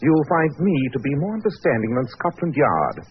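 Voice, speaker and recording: An actor playing a courteous, self-assured British detective in a 1940s radio drama, with crisp, precise, commanding delivery. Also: male voice, on the high side, huge pitch swings, a little fast. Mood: probing, assured. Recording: muffled, hissy 1940s radio recording.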